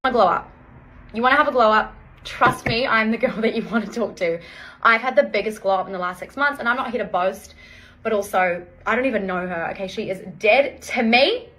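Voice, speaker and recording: A woman's voice: fairly high pitch, a little fast, a bit bright, low-quality recording. A woman chatting to viewers with casual, emphatic self-improvement advice, addressing them directly.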